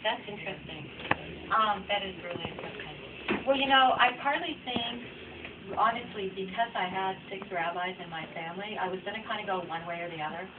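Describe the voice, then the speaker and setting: A woman's voice: medium pitch, brisk. A woman author speaking informally at a book event, reflective and rambling in a conversational way. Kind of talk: informal audience Q&A. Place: bookstore reading event. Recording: distant mic, dull noisy room recording.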